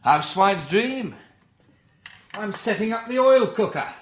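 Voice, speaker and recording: A lively, wry British survivor. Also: male voice, high-pitched, unhurried, a little hiss or hum. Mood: matter-of-fact. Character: practical, tough-minded, wry male survivor of an apocalypse. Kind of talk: British radio drama acting.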